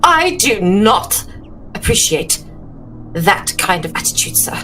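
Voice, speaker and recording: A woman's voice: medium pitch, big pitch swings, a bit bright, rough recording. Woman playing a shaken, genteel lady over music. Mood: offended. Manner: halting, formal, old-fashioned phrasing. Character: shaken, nervous, proudly indignant genteel lady.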